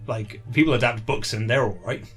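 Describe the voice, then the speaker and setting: Fast-talking man telling anecdotes. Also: medium pitch, faint music behind. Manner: chatty, acts out remembered dialogue in his stories. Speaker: interview guest, author. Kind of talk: casual conversational anecdote telling.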